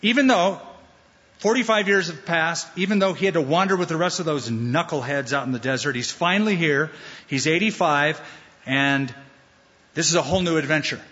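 A man preaching a sermon as pastor, animated and playful, acting out the voices he quotes. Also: on the high side, moderate pace. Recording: dull sound in a large hall with long reverb.

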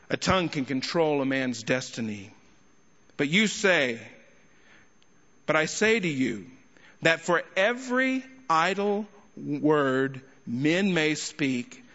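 A man preaching an expository sermon to a congregation, speaking emphatically with rhetorical questions and deliberate pauses. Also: on the high side, a bit bright. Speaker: guest preacher and teacher. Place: large indoor church hall. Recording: echoey large hall, slightly muffled sound.

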